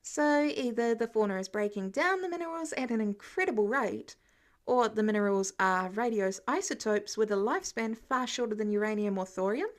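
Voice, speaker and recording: An eager, brainy teenage boy read by a woman, pitched fairly high. He talks with earnest, excitable energy, like a young science prodigy in an audiobook. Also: moderate pace, close to an everyday voice. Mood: keen.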